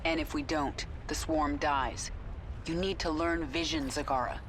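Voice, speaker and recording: A woman's voice: low-pitched, fairly even intonation, a little slow. Commanding alien swarm queen. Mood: resolute. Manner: cool, authoritative, clipped. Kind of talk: video game voice acting. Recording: clear ambient noise behind.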